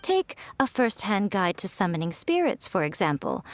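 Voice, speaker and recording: A woman voicing a sly, elegant fox spirit who mentors with smooth, unhurried teasing. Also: mid-range voice, big pitch swings, slight background noise, natural voice. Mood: instructive. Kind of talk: video game cutscene voice acting.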